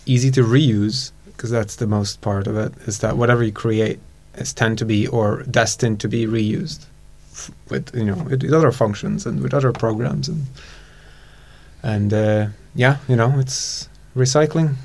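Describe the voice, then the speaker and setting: A man's voice: mid-range voice, measured pace, gravelly, darkish tone. Hungarian guy chatting on a podcast, laid back, laughs a lot. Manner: thoughtful, many restarts, laughs easily. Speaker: guest expert. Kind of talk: casual podcast chat.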